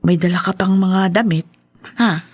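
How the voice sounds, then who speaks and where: A woman's voice: lowish voice, moderate pace, breathy edge, dry recording. A woman playing a poor, caring, fretful older mother in a melodramatic radio drama. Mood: chatty, concerned. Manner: fretful, lamenting, stammers when shocked.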